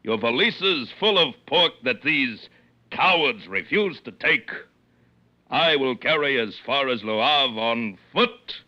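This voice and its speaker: Booming, half-mad French rogue, very sharp-voiced. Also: male voice, animated, slow pace, exaggerated voice. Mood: grandiose, tipsy. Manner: bombastic, theatrical, demanding. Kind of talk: 1940s radio drama acting. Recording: dull old radio broadcast recording.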